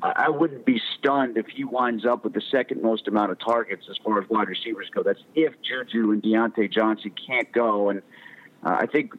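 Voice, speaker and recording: A man's voice: on the low side, normal speed. American man on a tinny phone-like line. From Connecticut, United States. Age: 40s. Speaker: sports journalist and podcaster. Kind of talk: casual interview talk on sports.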